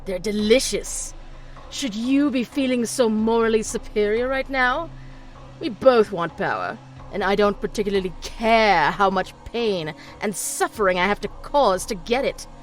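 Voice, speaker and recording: A woman's voice: mid-range voice, unhurried, faint music behind, close to an everyday voice. A woman playing a menacing, sneering monstrous villain. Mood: taunting. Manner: sneering, taunting, pompous. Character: menacing father turned monster by a parasite, arrogant, cruel. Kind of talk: audio drama acting.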